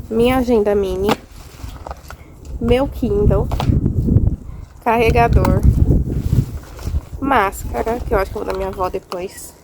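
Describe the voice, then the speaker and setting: A Brazilian woman chats her way through a casual outdoor vlog, her voice sitting a bit high and a little congested from a cold. She exclaims over small things as she walks. Clear wind noise rushes over the phone recording. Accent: Brazilian Portuguese. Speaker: vlogger. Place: outdoors on rural land, windy. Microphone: handheld phone.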